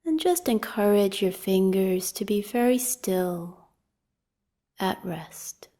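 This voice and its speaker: Slow, mellow woman guiding meditation. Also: mid-range voice. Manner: calm, gentle, unhurried with long pauses. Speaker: meditation teacher leading a guided practice. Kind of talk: guided meditation, slow spoken instructions.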